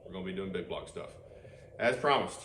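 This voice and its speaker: A man's voice: deep voice, animated, fairly quick. A man, hosting a casual workshop vlog and chatting while he works on an engine in an outdoor garage. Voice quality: loud voice. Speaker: channel host. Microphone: camera microphone, no external mic. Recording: roomy, noisy workshop ambience.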